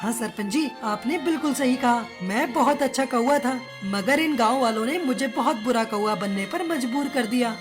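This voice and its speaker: Woman voicing a high-pitched chatty little cartoon crow. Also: moderate pace, hardly any pauses, music in the background, natural voice. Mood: hurt, pleading. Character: short joke-telling crow, male, hurt and vengeful. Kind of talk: Hindi cartoon story dialogue.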